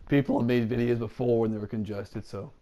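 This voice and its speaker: A man's voice: mid-range voice, unhurried, dark tone, muffled recording. A congested young man giving casual, rambling commentary on a video game. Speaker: let's play commentator.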